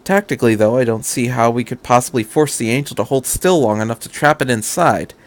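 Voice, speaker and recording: A man voicing a calm, sceptical female scientist in a fan fiction audiobook, measured and matter-of-fact. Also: medium pitch, close to an everyday voice. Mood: dry, pensive.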